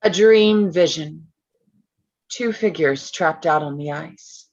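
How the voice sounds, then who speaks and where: A woman's voice: sits low, slow, a little mellow, lo-fi sound. A woman playing an intense young visionary storyteller, solemn and portentous, in a dramatic staged reading. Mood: haunted wonder.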